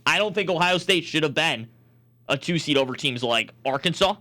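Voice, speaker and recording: A man's voice: on the high side, expressive, a little fast, sharp tone. A young man working as a sportscaster, delivering sports commentary and opinion in an emphatic, argumentative manner. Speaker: sportscaster and sports talk host.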